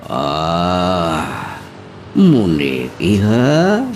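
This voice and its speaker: A man's voice: sits low, huge pitch swings, extremely slow, noisy background, exaggerated voice. A man with an Ilocano accent acting a doting, hesitant middle-aged father in a radio drama. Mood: curious.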